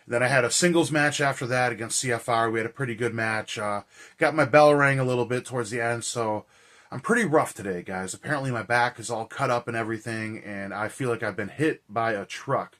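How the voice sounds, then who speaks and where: A man with a hoarse, raspy, tired voice, hosting a vlog in a casual, rambling, slangy way. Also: mid-range voice, brisk, a bit bright. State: hoarse and tired after a cold and shouting. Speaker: horror movie reviewer and vlog host. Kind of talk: casual chat to camera.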